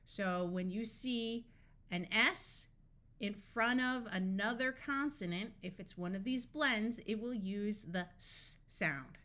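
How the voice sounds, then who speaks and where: A woman reading tutor delivers a scripted phonics lesson at a very slow pace. Her pitch sits in the middle for a woman. She speaks deliberately, breaking words into their sounds piece by piece. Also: sharp tone.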